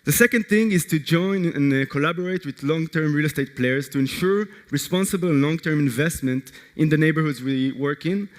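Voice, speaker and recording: A man from Israel, a startup co-founder, giving an earnest, energetic conference pitch that puts direct questions to the audience. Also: brisk, few pauses. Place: large conference hall. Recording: long hall reverb.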